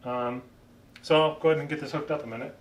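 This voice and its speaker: A man giving a casual, unscripted gear review, thinking aloud in a halting way as a vlogger. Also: a little high, fairly even intonation. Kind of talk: casual unscripted product talk. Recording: roomy echo, distant microphone.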